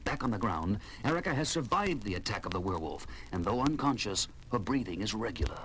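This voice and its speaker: A man's voice: lowish voice, sing-song, brisk, noisy background. A man narrating a horror audio drama in a portentous, theatrical manner. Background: dramatic music score.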